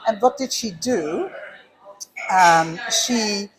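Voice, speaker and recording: A woman's voice: medium pitch, drawn-out words, crowd noise, rough recording. An older woman with a Dutch accent, co-hosting a casual chat and telling reflective stories in slightly broken English. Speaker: show co-host.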